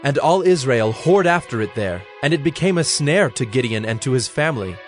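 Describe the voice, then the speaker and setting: Male narrator for dramatized scripture, medium pitch, measured and solemn, light music underneath. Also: lively intonation.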